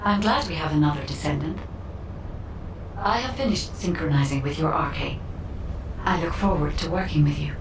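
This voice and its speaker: A woman voicing a courteous, calm artificial guide interface in a video game, speaking in measured, formal lines. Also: low-pitched. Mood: serene, courteous. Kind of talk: video game character dialogue. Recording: echoey, distant, with clear ambient noise.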